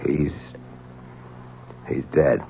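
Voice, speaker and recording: Anxious pleading man, muffled old radio. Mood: shaken. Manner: halting, pleading. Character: anxious, reasoning male friend pleading for calm. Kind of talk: 1970s radio drama acting. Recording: dull 1970s radio recording with hum.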